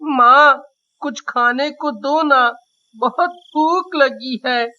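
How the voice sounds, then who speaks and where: A woman's voice: sits high, very slow, bright, forceful tone, muffled recording, full character voice. A woman voicing a poor tailor's young son in a cartoon, earnest and pleading. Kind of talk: Hindi cartoon story dialogue.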